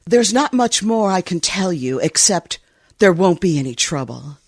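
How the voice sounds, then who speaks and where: Actress as a sultry femme fatale, husky voice, radio noir drama, controlled, blunt, dry close recording. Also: female voice, on the low side, big pitch swings, measured pace, dry recording, heavily acted. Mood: firm, commanding. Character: cool, sultry, tough femme fatale client. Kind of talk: old-time radio detective acting.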